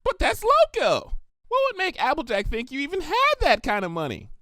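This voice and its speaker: A man voicing a bouncy, excitable, scatterbrained young female pony who rambles and exclaims naively in a cartoon fan fiction reading. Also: very high voice, normal speed, dry recording, clean studio sound, pitched above the performer's own voice, exaggerated voice. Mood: shocked, excited.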